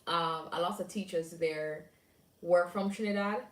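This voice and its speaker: A woman's voice: fairly low pitch, fairly even intonation, measured pace, echoey room, recorded from a distance. A young Jamaican woman chatting casually on a livestream, reading and answering viewer comments aloud. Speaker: livestream host.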